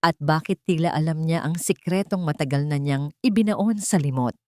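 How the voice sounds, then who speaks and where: A woman's voice: low-pitched, moderate pace, warm tone, no echo. A woman with a Filipino accent narrating a dramatic story from a script.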